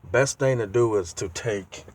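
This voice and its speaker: A man with a low voice walks the viewer through a do-it-yourself job. His delivery is slow and halting, with pauses as he searches for the next word. The tone is that of a casual, informal tutorial. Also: darkish tone, a little hiss or hum. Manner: halting, pauses mid-sentence to find words. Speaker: DIY car video host. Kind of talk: casual how-to walkthrough. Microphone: handheld tablet.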